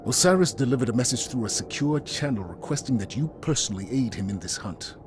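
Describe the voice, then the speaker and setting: A man playing a solemn veteran commander in a video game, speaking in a measured, deliberate way as he gives a mission briefing. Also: medium pitch, lively intonation, noisy background, lightly acted. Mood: grave, urgent. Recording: music under the voice. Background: music.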